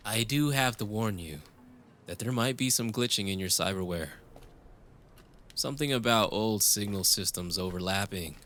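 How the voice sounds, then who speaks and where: A male voice actor as a young cyberpunk lover, speaking slowly in a lowish, bright, crisp-edged voice. He is tender and wry, teasing softly with small hesitations, as in an intimate romance audio drama. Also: a little hiss or hum.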